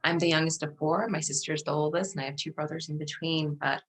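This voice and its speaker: Interview guest: middle-aged woman, native Dari speaker, low-pitched voice, recounting personal history thoughtfully. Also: flat delivery, quick pace, fairly bright tone. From Afghanistan and Pakistan.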